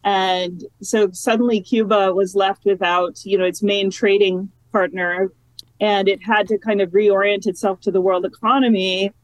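A woman's voice: mid-range voice, flat delivery, normal speed, no echo, rough recording. Chatty woman professor, dry close sound. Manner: chatty, many fillers and restarts, laughs easily. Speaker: geography professor, interview guest. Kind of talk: casual academic interview.

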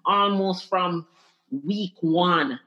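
A woman's voice: lowish voice, very slow, bright, forceful tone, lo-fi sound. A woman from Jamaica with a Jamaican accent, talking candidly and animatedly as an interview guest, often repeating words for emphasis.

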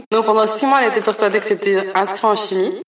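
A woman who works as an artist, telling stories in a lively way and laughing often during a casual interview. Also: mid-range voice, moderate pace. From Belgium. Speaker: artist guest. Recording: echoey room, voice from a distance.

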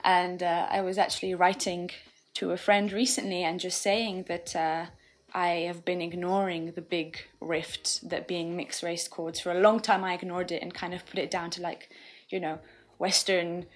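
Woman, young, podcast guest; thoughtful, unhurried, lots of ums and gentle laughs. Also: fairly low pitch. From England. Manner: thoughtful, frequent fillers and soft laughs. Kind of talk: casual reflective conversation.

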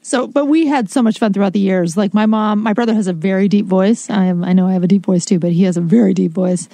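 Mellow, low-voiced woman telling stories. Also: quick pace, no echo. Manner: warm storytelling, chatty with lots of "like". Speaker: podcast co-host. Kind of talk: casual chat.

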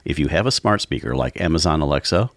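A man hosting a public radio arts show, reading the program's closing credits in a smooth announcer manner. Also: extremely low pitch, lively intonation, fast, no echo, studio quality. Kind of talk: scripted broadcast outro.

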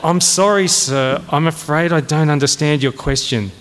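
A man's voice: on the high side, normal speed, a bit bright, a faint crowd, natural voice. A man voicing an earnest, flustered young military cadet in a comic audiobook, courteous, nervous and apologetic. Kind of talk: comic dramatised audiobook reading. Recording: long echoing hall reverb.

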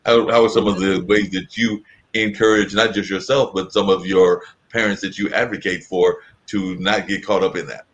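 A man's voice: low voice, fairly even intonation, normal speed, a bit bright, lo-fi sound. A man hosting a conversational interview, asking long, rambling, winding questions. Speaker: interview host.

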